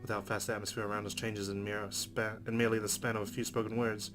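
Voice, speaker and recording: A man with a fairly low voice reads story narration aloud as a let's play host. Guitar music plays clearly behind him as he reads from a visual novel. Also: flat delivery, fast talker.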